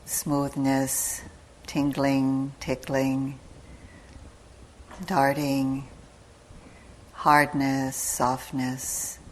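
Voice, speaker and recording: Very slow, low-voiced woman guiding meditation. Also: a little hiss or hum, poor audio. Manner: calm, measured, with long pauses between short phrases. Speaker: meditation teacher leading a guided session. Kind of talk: guided meditation instruction. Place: meditation retreat hall.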